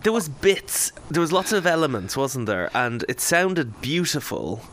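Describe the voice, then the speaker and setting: A man's voice: on the high side, big pitch swings, measured pace, fairly bright tone, slight background noise. A man co-hosting a podcast in casual, effusive review chat. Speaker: podcast co-host.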